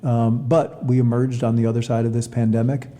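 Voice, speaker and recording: A man giving earnest, measured interview answers as an athletics director. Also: medium pitch, flat delivery, dark tone. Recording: long reverberant hall echo.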